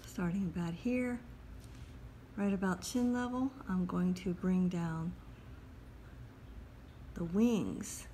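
A woman calmly talking through a step-by-step drawing lesson as she sketches at a table. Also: on the low side, slight background noise. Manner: calm, unhurried, talks while drawing. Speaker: drawing instructor. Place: at a table indoors.

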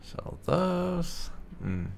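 A man's voice: sits high, sing-song, extremely slow, vocal fry, darkish tone, slight background noise. A man giving casual, wry commentary on his video game playthrough, often half talking to himself. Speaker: gaming video host.

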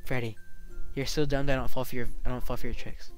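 A man playing an excitable, bratty restaurant worker in an improvised comic game roleplay, whining and repeating himself. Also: medium pitch, fast, soft background music, close to an everyday voice. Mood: bantering. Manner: excitable, whiny, repeats phrases. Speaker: player in a Minecraft roleplay video.

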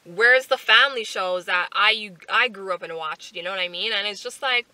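Bright, chatty young woman vlogging. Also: mid-range voice, a little fast, dry recording, poor audio. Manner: chatty, animated, lots of fillers and asides. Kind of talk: casual chat to camera. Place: parked car, indoors. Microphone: camera on the dashboard.

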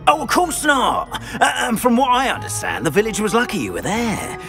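A flustered middle-aged caretaker in a fantasy game. His voice is fairly high and swoops dramatically, fussy and effusive with little hesitations. Music is clearly heard behind. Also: big pitch swings, normal speed, sharp tone, heavily acted. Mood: effusive, conspiratorial. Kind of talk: video game cutscene acting.

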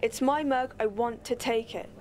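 Woman acting fed up grown daughter in a radio play, arguing, slightly high young voice. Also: steady tone, normal speed, a little mellow, lightly acted. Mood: exasperated. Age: young adult. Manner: exasperated, argumentative. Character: frustrated grown-up daughter wanting independence. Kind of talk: low-key dramatic radio-play dialogue.

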